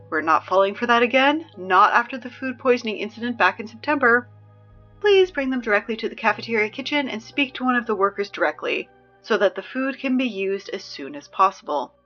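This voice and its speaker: A young woman playing a wry, peppy teenage girl who reads high school announcements in a chatty, sardonic, emphatic way for a scripted audio drama. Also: a bit bright, highs cut off.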